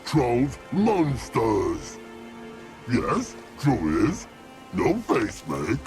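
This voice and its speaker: Game creature: huge slow-witted, stubborn troll, very laboured delivery, swooping pitch, gravel, broken grammar. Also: male voice, wildly swooping pitch, darkish tone, soft background music, heavily acted. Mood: earnest, muddled. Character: big dim-witted stubborn male rock troll. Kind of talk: video game character acting.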